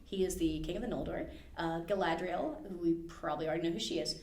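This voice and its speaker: Low-voiced woman in an echoey room. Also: fast talker, darkish tone. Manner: enthusiastic, digs into book lore, fond of asides. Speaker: library podcast host. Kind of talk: casual chat.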